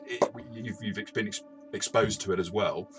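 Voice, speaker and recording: A man's voice: on the low side, fairly even intonation, moderate pace, over music. A man co-hosting a casual role-playing game review, talking in a hesitant way with many false starts.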